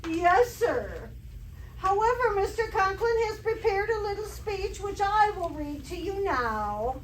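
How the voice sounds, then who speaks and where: A woman playing a wry, quick-witted female schoolteacher in an old-time radio comedy performed on stage. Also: very high-pitched, big pitch swings, exaggerated voice. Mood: flustered, formal. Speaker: amateur stage actor. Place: live stage performance in a hall. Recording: echoey hall, distant mic, faint noise.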